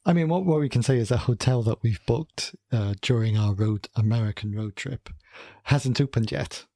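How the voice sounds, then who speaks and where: Gravelly Welsh man, chatty podcast co-host. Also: medium pitch, moderate pace, warm tone, dry recording. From Wales. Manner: rambling, self-correcting, dry humour. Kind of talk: casual chat.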